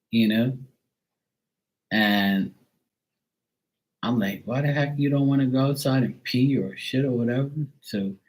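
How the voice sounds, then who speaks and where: A man's voice: mid-range voice, darkish tone, rough recording. A man from Bermuda chatting casually on a live stream, telling rambling everyday stories with lots of fillers. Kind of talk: casual chat.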